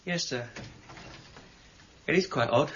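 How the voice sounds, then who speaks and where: An actor playing a dutiful, polite, probing detective sergeant with an English accent in a radio crime drama. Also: male voice, a little high, takes it slowly, fairly bright tone. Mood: measured. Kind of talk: 1990s British radio drama acting. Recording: dull, noisy archive radio recording.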